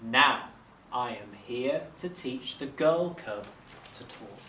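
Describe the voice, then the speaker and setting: A man voicing a huge, fierce tiger in a children's story, calm, commanding, terse and deliberate. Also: mid-range voice. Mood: calm menace. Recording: roomy, distant mic, faint noise.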